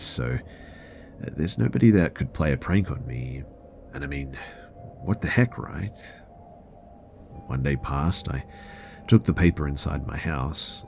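Very deep, gravelly male storyteller. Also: a little mellow. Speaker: narrator of listener-submitted scary stories. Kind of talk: conversational first-person horror storytelling.

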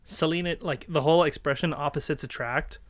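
A man's voice: on the high side, brisk, no echo. Young Canadian man, close dry sound. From Ontario, Canada. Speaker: podcast host. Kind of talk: casual chat, anecdote telling.

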